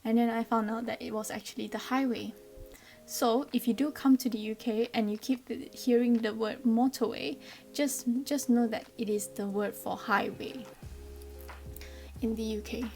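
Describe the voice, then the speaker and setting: A woman's voice: fairly high pitch, steady tone, moderate pace, a little mellow, faint music behind. A woman in her twenties from Malaysia with a Malaysian accent, explaining things in a chatty, friendly way with frequent ums. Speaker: vlogger. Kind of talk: casual explainer vlog.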